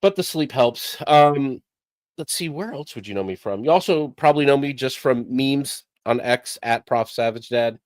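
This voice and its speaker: A man on a podcast panel speaks in a laid-back, blunt way. His pitch is average for a man, and his close mic sounds completely dry. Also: normal speed, no echo. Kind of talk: casual podcast chat.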